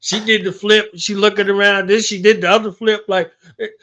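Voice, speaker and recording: A man's voice: high voice, little pitch movement, normal speed, a bit bright. A man hosting a casual interview, talking enthusiastically and emphatically, often repeating his phrases. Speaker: radio show host. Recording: slightly dull lo-fi audio.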